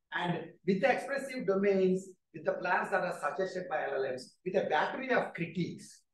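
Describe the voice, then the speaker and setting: High-voiced man lecturing in an echoey hall. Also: distant mic, a little hiss or hum. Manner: animated, tells jokes, frequent "right?" and "you know". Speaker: keynote speaker, professor. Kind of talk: lecture with audience questions. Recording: echoey hall, speaker off-mic.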